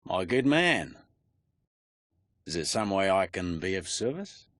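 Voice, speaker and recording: A man's voice: low-pitched, sing-song, a little slow, a bit bright, no room sound, full character voice. An actor playing a sly, mock-courteous male underworld fixer in a video game cutscene, with an unctuous way of talking.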